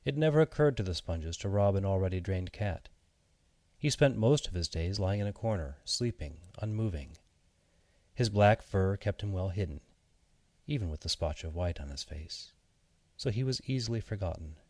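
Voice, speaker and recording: A man narrating a children's fairy tale from a script, with measured, deliberate and expressive phrasing. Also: low voice, dry recording. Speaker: audiobook narrator.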